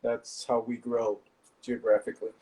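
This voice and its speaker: A man from Indonesia, pitched in a middle range, talks steadily about how his company works. He explains one step at a time over a video call, pausing now and then on small fillers. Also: level tone, mellow, poor audio. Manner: measured, explanatory, some fillers. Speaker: startup founder. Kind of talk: guest explaining his business.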